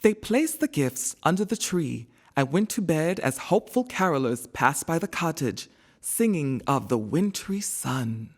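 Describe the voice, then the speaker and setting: Expressive, low-voiced woman reading a storybook. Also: measured pace. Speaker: storybook narrator. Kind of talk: scripted children's storybook narration.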